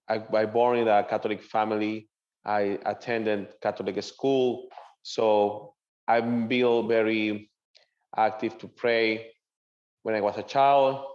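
A young man with a Venezuelan Spanish accent, a native Spanish speaker, answering interview questions as a seminarian in earnest, halting English. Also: fairly low pitch, darkish tone, lo-fi sound. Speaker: seminarian guest.

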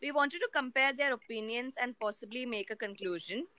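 Bright-voiced young woman from India. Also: a little high, fairly quick, a little hiss or hum. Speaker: co-host. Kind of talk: casual discussion.

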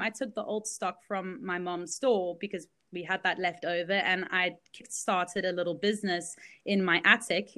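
A woman's voice: mid-range voice, bright, forceful tone. A woman in her thirties, originally from Belgium, telling her story as an interview guest in a candid, chatty way with many fillers. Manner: candid storytelling with frequent fillers and light laughs. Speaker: guest, business founder. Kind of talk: casual interview chat.

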